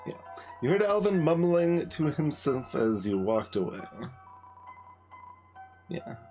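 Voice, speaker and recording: A man's voice: a little high, sing-song, slow pace, mellow. A man giving casual, musing commentary as he plays a video game, often hesitating and trailing off. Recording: music behind the voice. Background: game music.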